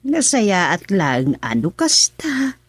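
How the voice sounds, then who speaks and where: Husky, worried older mother. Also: on the low side, very animated, takes it slowly, no room sound, full character voice. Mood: concerned, probing. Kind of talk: radio drama acting.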